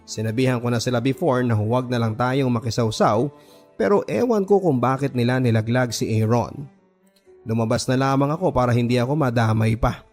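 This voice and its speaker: A man's voice: mid-range voice, fairly quick, darkish tone. A man narrating a scripted first-person story in a dramatic radio style, with background music.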